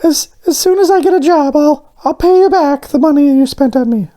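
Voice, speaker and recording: A man voicing a small, eager male talking doughnut in a storybook, with a squeaky, childlike falsetto. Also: fairly quick, warm tone. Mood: sad, tearful.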